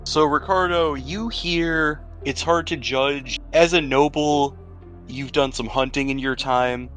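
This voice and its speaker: A man's voice: on the high side, steady tone, slow, fairly bright tone, faint music behind. A man running a tabletop roleplaying game, narrating the scene as game master.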